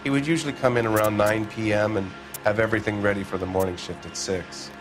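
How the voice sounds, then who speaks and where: A man's voice: fairly low pitch, steady tone, quick pace, over music, close to an everyday voice. An actor playing a defensive, evasive male factory owner with a folksy manner in a video game. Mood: evasive, cagey. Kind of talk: acted video game dialogue.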